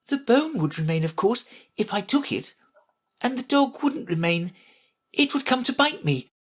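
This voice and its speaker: A woman puts on an earnest little girl from a storybook, high and lilting. She is polite and careful, answering gravely and properly. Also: sing-song, normal speed. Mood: grave, thoughtful. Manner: careful, grave, well-mannered.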